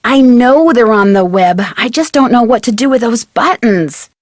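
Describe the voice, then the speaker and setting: Woman as a lively, higher-voiced young detective. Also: moderate pace, dry recording, clean studio sound, close to an everyday voice. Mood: puzzled, impatient. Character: inquisitive young female amateur detective. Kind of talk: natural video game dialogue acting.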